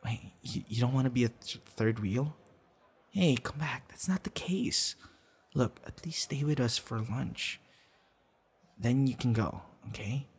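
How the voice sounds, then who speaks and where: A man's voice: mid-range voice, unhurried, slight background noise, natural voice. A man acting a shy, kind young boyfriend in an ASMR roleplay, speaking conversationally with hesitations and stammers. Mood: pleading, tender.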